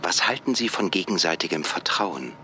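An actor in a radio drama playing an unflappable AI system that asks formal, pointed questions as a job applicant. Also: male voice, sits low, lively intonation, normal speed, sharp tone, slight background noise. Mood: probing, composed.

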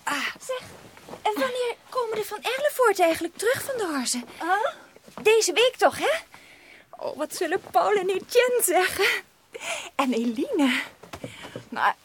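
A woman's voice: very high-pitched, big pitch swings, a little slow, long pauses, heavily acted. An actress in a Dutch radio play playing a sweet, dreamy, obedient young girl in love, gushing, on a slightly noisy recording. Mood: blissful, dreamy.

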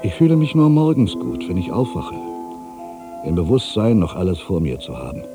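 Very deep-voiced, world-weary aging male writer. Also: sing-song, moderate pace, music in the background. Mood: exalted. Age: older. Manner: theatrical, introspective, swinging between hushed and emphatic delivery. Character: aging male writer, world-weary, anxious, brooding, given to grandiose fancies. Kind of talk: literary dramatic monologue.

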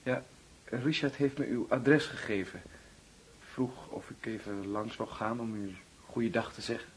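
A man's voice: medium pitch, steady tone, unhurried, slightly rough. An actor playing a reserved, polite young man in a radio drama, terse and hesitant. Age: young adult.

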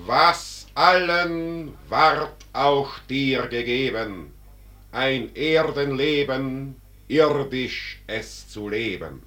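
An actor playing Death as a solemn, majestic spirit, declaiming verse in a stately, commanding manner in a classic German radio drama. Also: male voice, mid-range voice, animated, very slow, bright, forceful tone, heavily acted. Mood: stern, exhorting.

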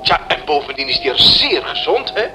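An actor playing a courteous, contented, eccentric young tenant in a radio play, speaking in a polite, formal manner. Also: male voice, on the high side, sing-song, fairly quick, over music. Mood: cheerful, polite. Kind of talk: radio drama acting. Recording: hissy, noisy old radio play recording.

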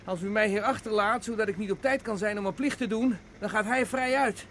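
A man's voice: sits high, brisk, a bit bright. An actor playing a nervous, defensive executioner in a radio play, hesitant and stammering as he justifies himself. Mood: pleading, urgent. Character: nervous, defensive executioner, a lonely drinking outcast. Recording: noisy radio play recording, car sounds. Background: car engine and road noise.

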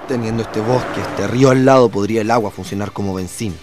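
A man's voice: fairly low pitch, fast, over music, natural voice. An actor playing a good-natured, improvising Chilean family father in a radio drama. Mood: defensive.

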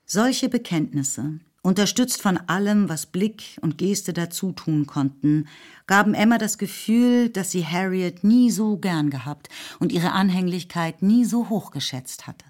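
A woman's voice: fairly low pitch, expressive, measured pace, a little mellow. A woman giving composed, scripted literary narration as the storyteller of a radio play.

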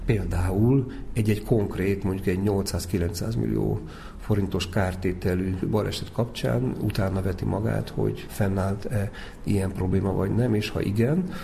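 A man's voice: fairly low pitch, fairly even intonation, vocal fry, dark tone. A man giving an explanatory interview as an expert. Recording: faint background noise.

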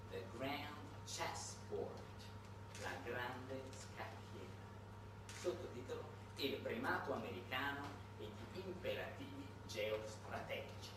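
A man performing a theatrical satirical monologue, with dramatic swings in delivery, as a storyteller on his own. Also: mid-range voice. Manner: dramatic delivery swinging between hushed and shouted. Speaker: stage monologist, writer-performer. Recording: echoey, noisy recording from a distance.

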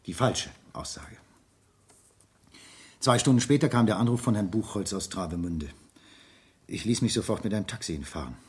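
Very hoarse-toned, anxious businessman. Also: lowish voice, lively intonation, a little mellow. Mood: anxious, confessing. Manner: formal, deliberate, defensive. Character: formal, anxious businessman under murder suspicion. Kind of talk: 1970s German radio crime drama acting.

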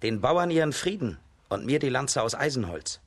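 A man playing a bold, strong hero in a children's folk-tale radio play, with a husky voice and a determined manner. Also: medium pitch, moderate pace. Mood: demanding. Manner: lively, determined, taunting.

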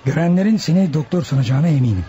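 Actor as fast-talking, scheming gang boss. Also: male voice, fairly high pitch, animated, mellow, lo-fi sound, some chatter behind, natural voice. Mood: confident, plotting. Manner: brisk, commanding. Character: confident, scheming male leader of hired killers. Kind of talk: Turkish radio theatre acting. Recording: noisy old radio drama recording.

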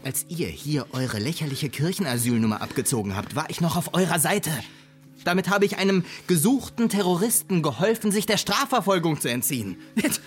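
Stern, lively-pitched clergyman played by a man. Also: a little fast, close to an everyday voice. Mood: indignant, lecturing. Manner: insistent, lecturing. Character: stern, self-righteous male clergyman turning on a fugitive. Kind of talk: German radio crime drama acting. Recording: faint music behind the voice.

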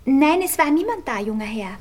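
An actress playing a demure young housemaid with a Viennese accent, deferential and matter-of-fact, in a radio play. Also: female voice, high voice, animated, normal speed, a little mellow. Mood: obliging.

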